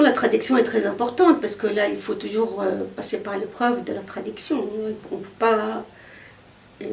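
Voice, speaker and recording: Egyptian woman, chatting at an outdoor poetry fair, lots of fillers, gravelly, echoey and a bit far off. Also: medium pitch, darkish tone. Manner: hesitant, many fillers and restarts. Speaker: poet interviewed. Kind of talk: casual conversational interview. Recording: echoey, from a distance, faint outdoor noise.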